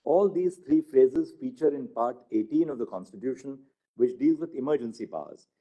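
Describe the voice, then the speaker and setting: A man reads formal legal text aloud. His voice is very dark and mellow, with a husky edge. The delivery is measured and formal, at a medium pitch. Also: animated, highs cut off. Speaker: narrator reading a court judgment.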